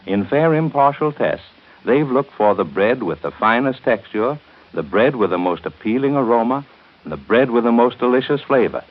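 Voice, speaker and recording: A man with an American accent announcing and reading commercials in a measured, polished 1930s radio style. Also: mid-range voice, lively intonation, narrow, tinny audio. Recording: band-limited 1930s radio recording.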